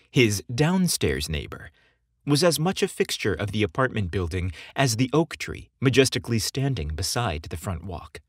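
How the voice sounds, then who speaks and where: Husky male storyteller, very animated. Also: mid-range voice, no room sound, studio quality. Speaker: podcast host and narrator. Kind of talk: scripted story narration. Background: music behind the voice.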